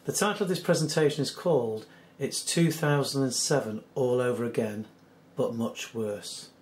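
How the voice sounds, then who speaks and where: Hoarse British man hosting commentary. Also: mid-range voice, darkish tone. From United Kingdom. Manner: earnest, skeptical, measured. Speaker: channel host. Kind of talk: solo commentary reading news articles.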